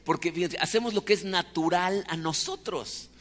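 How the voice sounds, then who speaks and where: Male preacher, Mexican Spanish accent, casual sermon style with rhetorical questions, slightly higher voice, long hall echo. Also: normal speed, fairly bright tone. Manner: chatty, asks rhetorical questions. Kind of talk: conversational sermon.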